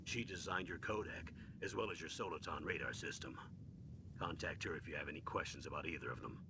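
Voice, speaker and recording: A man's voice: very deep voice, fairly quick, background noise. An actor playing a commanding older colonel who briefs his agent in a video game. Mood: matter-of-fact.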